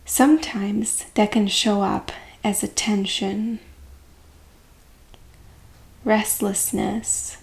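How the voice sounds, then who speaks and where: Slow, gentle woman guiding meditation. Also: medium pitch. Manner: gentle, calming, unhurried delivery with long pauses. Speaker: emotional eating coach and meditation guide. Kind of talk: guided meditation.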